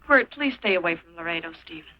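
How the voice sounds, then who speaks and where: An actress playing a gentle, principled young farm woman in a 1940s western film, speaking softly and earnestly. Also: fairly low pitch, expressive, quick pace, close to an everyday voice. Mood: worried. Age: young adult. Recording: dull muffled old film soundtrack.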